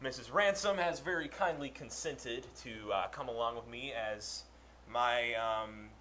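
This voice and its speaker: A man's voice: mid-range voice, measured pace, sharp tone. A man playing a flirtatious, courtly country doctor in a 1940s-style radio comedy, with hesitant phrasing. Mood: awkward, persuasive. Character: smooth, flirtatious country doctor, male rival suitor. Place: small town theater stage. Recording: live stage recording with faint noise.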